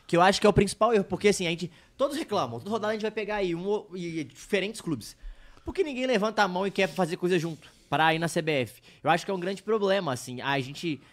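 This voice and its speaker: A man's voice: high-pitched, fast. A young man co-hosting a podcast, searching for words as he talks. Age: young adult. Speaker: podcast co-host. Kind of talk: podcast conversation.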